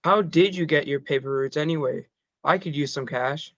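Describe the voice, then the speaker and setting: A man's voice: fairly high pitch, moderate pace, fairly bright tone, no room sound, dull audio. An actor reading a skinny, modest fifteen-year-old boy in casual scripted dialogue. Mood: friendly.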